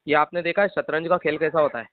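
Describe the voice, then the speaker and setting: A man's voice: on the high side, steady tone, fast, no room sound. Agreeable man on a muffled, dry phone line. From Madhya Pradesh, India. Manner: agreeable, repeats short affirmations. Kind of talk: casual supportive phone conversation.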